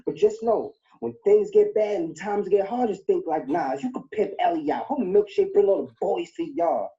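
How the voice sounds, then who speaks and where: Taunting battle rapper, dark muffled tone. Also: male voice, high voice, normal speed. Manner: taunting, rhythmic rap delivery. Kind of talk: battle rap verses.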